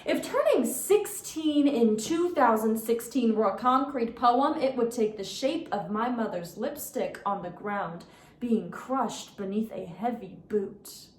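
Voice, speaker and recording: A young woman performing a dramatic poetry recitation, with theatrical, deliberate phrasing and emphatic pauses. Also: fairly high pitch, expressive. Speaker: competitive speech performer. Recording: roomy, echoing sound.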